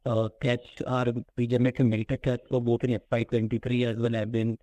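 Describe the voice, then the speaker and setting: A man questions management on a conference call. His delivery is formal, with a flat melody and a mid-range pitch. The recording is dry. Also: quick pace, no echo. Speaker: analyst asking questions on an earnings call. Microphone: conference call line.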